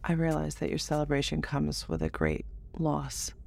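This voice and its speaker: An actor playing a refined, guarded dignitary in an audio drama, speaking formally in a measured, deliberate way. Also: medium pitch, big pitch swings, creaky, a little hiss or hum. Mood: guarded, somber.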